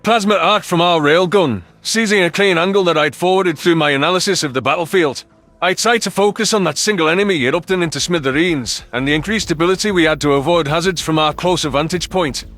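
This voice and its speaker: High-pitched male sci-fi audiobook narrator. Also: a little fast, a bit bright. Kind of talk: scripted first-person science fiction narration.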